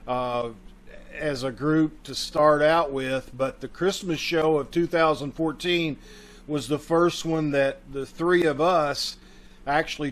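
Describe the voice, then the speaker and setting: A man with a voice a little higher than most hosts for a community theater troupe. He speaks informally and addresses the audience directly, in a casual welcome over a video call.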